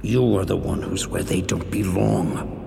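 Cryptic, ominous male detective, very gravelly low voice, gothic audio drama. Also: steady tone, warm tone, slight background noise, full character voice. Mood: grim, resigned. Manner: ominous, measured, resigned. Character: grim, cryptic noir detective lurking in darkness. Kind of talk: scripted gothic noir audio drama acting.

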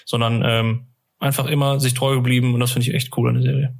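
A man's voice: mid-range voice, flat delivery, fast talker, vocal fry. A man hosting a fan podcast, chatting casually and colloquially in rambling sentences with frequent tag questions. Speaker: podcast host.

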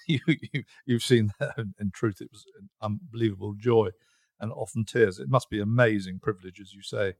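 A man hosting an interview, talking in a halting, conversational way with pauses and restarts. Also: fairly low pitch, no echo, clean studio sound. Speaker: interview host.

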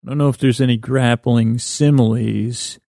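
A man with a creaky voice hosting a sleep podcast, delivering a meandering, halting bedtime monologue with whimsical, self-deprecating digressions. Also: medium pitch, fairly even intonation, warm tone, no room sound, clean studio sound. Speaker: podcast host. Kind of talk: rambling bedtime monologue to help listeners sleep.

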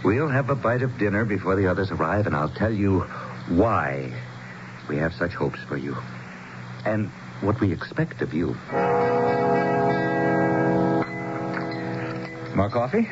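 An actor playing a grave, courteous American small-town mayor in a radio drama, speaking in a measured, dramatic storytelling way. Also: male voice, deep voice, sing-song, over music. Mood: earnest, mysterious. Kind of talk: 1970s radio drama acting. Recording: dull, noisy old radio broadcast.

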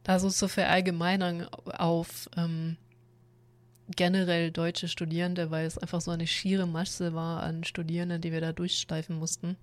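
Woman, low voice, rambling storyteller in a dry, close recording. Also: little pitch movement, moderate pace, no room sound. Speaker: former university staff. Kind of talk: casual podcast chat.

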